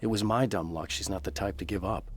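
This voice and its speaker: An actor playing a brooding, tormented novelist in a video game, narrating gravely and with measured delivery like noir. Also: male voice, deep voice, very noisy, lightly acted. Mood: somber, regretful. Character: brooding tormented bestselling crime novelist hero, adult man. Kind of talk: scripted first-person noir narration.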